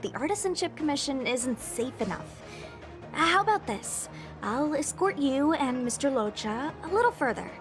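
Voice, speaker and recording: A woman's voice: sits high, sing-song, normal speed, music in the background. A woman voicing an earnest, eager young female knight in a video game, spirited and friendly in manner. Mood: earnest, helpful.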